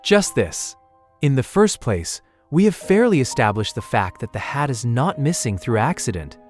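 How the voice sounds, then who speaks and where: A man playing a calm, bookish young amateur detective with pedantic, deliberate phrasing in an audiobook mystery drama. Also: medium pitch, soft background music, lightly acted. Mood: reasoning.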